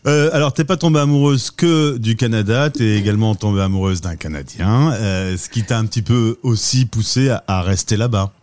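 A man's voice: fairly low pitch, moderate pace, few pauses, a little mellow. A man hosting a radio interview, asking conversational, leading questions with some fillers.

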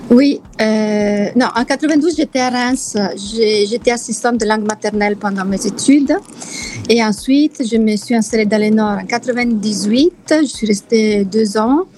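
A woman, a radio guest talking a little hesitantly, with pauses and fillers. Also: fairly high pitch, darkish tone, slight background noise. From Italy. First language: Italian. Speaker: interview guest. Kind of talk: radio interview.

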